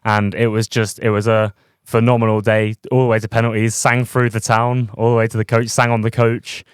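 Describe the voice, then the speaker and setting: A man's voice: lowish voice, level tone, brisk, smooth, dry recording, studio quality. A young man hosting a casual football fan podcast, chatty and full of fillers and restarts. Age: young adult. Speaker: podcast host.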